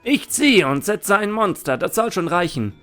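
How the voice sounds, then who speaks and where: A man's voice: high-pitched, sing-song, moderate pace, pushed and bright, faint music behind, full character voice. A man playing a confident, defiant young duelist with a dragon deck in an anime fan audio drama.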